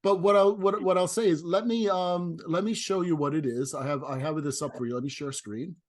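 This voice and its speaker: A man hosting an interior design talk over a video call, chatty and halting, often restarting his sentences and laughing. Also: on the high side.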